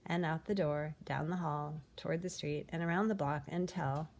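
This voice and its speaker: A woman reading a children's picture book aloud in an expressive, animated way, with dramatic pauses. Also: low-pitched, a little hiss or hum. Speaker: storybook reader.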